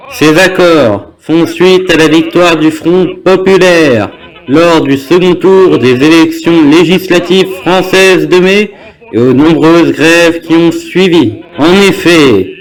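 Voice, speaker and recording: A man's voice: fairly high pitch, low-quality recording. A young man reads a scripted newsreel narration in a formal manner. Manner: formal, reading aloud. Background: music.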